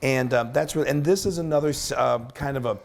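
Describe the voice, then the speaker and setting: A man co-hosting a casual cooking show, his voice raspy and hoarse from a cold, chatty and upbeat with frequent stumbles. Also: medium pitch, fast talker.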